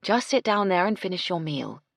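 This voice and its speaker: A woman voicing a kindly, firm lady in charge of a household, speaking in a measured, genteel and reassuring manner. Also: fairly low pitch, very animated, gravelly, no room sound, clean studio sound, voice pushed up, heavily acted. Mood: gentle.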